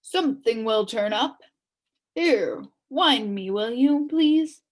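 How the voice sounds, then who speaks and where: A woman voicing a calm, gruff, reassuring male dog in a storybook, speaking deliberately. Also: fairly high pitch.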